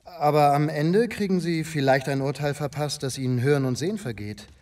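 A man's voice: a little high, brisk, a little mellow, recorded from a distance, slight background noise, lightly acted. A man acting in a radio drama as a blunt, stern police inspector with a warning manner.

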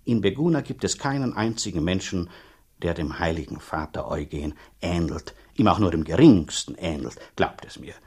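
A husky, low-voiced, blunt country dean. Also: male voice, lively intonation, darkish tone. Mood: incredulous, insistent. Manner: halting, emphatic, repeats himself. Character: blunt, talkative male rural dean, sceptical and condescending. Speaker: actor in a radio play. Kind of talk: German radio drama acting.

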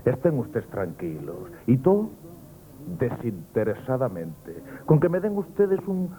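A man's voice: medium pitch, huge pitch swings, measured pace, dark tone. An actor playing a sly, greedy, wheedling henchman in a comic radio play. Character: sly, greedy male hanger-on, obsequious henchman. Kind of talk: 1970s Spanish radio comedy acting. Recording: noisy old radio broadcast.

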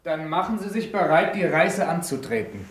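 An actor playing a brisk, matter-of-fact police officer in a radio crime play. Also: male voice, fairly high pitch, expressive, echoey room, noisy background, close to an everyday voice. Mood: curt. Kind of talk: 1970s radio crime drama acting.

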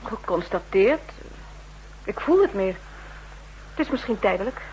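An actress playing a reserved, hesitant secretary, cautious and polite, in a 1960s radio drama. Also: female voice, mid-range voice, lively intonation, unhurried, a little mellow, lightly acted. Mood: hesitant, guarded. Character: reserved, hesitant female secretary giving testimony. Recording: faintly noisy old 1960s radio recording.

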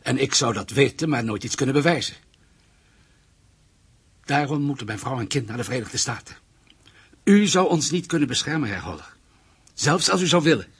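An anxious male informer in a radio drama. His medium-pitched voice is heavily roughened. He explains himself earnestly, subdued and resigned. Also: expressive, moderate pace, long pauses.

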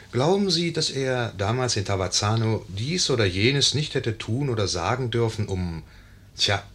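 A wry narrator in a vintage 1950s radio play. His voice is low. He speaks conversationally, with urbane irony, straight to the listeners. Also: a bit bright. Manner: ironic, conversational, addressing the listeners. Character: wry, urbane male storyteller commenting on history.

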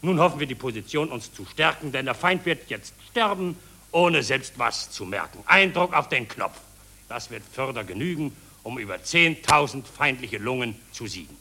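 An actor playing a pompous engineer-inventor who proudly declaims rhymed verse in a satirical radio play. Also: male voice, medium pitch, expressive, normal speed, pushed and bright, slightly rough, exaggerated voice. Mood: zealous, gloating. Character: pompous Berlin engineer-inventor proudly selling deadly plague.

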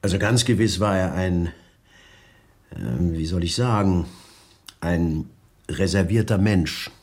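A man's voice: deep voice, animated, takes it slowly, breathy edge, heavily acted. An actor playing a hesitant acquaintance in a radio drama, searching for words as he recalls someone. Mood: musing, amused.

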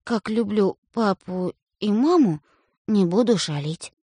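Stubborn small boy as a woman reads him, slow, hesitant, sulky, storybook child, dry sound. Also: medium pitch, expressive, dark tone, husky, dry recording.

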